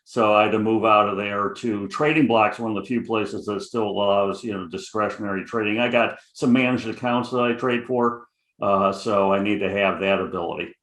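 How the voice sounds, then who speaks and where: A man's voice: fairly low pitch, fairly even intonation, moderate pace, fairly bright tone, echoey room. A middle-aged man with a Chicago accent, a guest options trader talking casually, rambling with many fillers. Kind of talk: casual interview chat.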